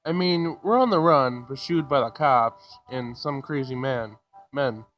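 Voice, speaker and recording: A man voicing a shy, earnest young man in a visual novel, speaking in a halting, hesitant way. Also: medium pitch, a bit bright, faint music behind, lightly acted.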